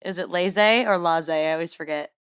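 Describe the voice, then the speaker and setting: A woman's voice: deep voice, fairly even intonation, brisk, clean tone. A young woman in a casual tabletop roleplay, chatty and giggly and full of fillers. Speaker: player.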